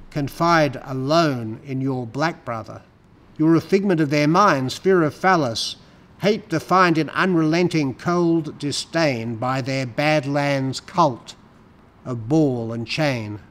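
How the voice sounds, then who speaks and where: Male poetry reader. Fairly high voice, emphatic performed delivery of rhyming verse. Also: slow pace. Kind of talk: dramatic recitation of rhyming verse.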